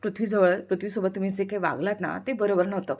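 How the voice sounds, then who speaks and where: A woman voicing a gentle, respectful young woman who pleads politely. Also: mid-range voice, fairly even intonation, fast, close to an everyday voice. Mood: pleading.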